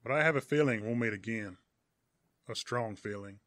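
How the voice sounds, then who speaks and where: A man voicing a cocky, quick-witted male superhero in an audiobook, smug and assured. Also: lowish voice, background noise, pitched below the performer's own voice, natural voice.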